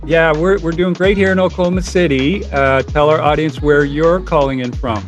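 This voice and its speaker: Guy hosting a podcast, kinda high voice, friendly, trips over words a bit, faint music behind. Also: fairly even intonation. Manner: friendly, halting with fillers and restarts. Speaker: podcast host. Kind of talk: podcast interview.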